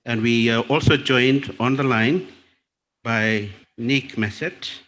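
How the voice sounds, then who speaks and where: A man's voice: medium pitch, fairly bright tone, slight background noise. Fijian man moderating at a lectern. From Fiji. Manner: measured, deliberate, with small restarts. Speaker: panel facilitator. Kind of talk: formal event moderation. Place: conference hall. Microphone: lectern microphone.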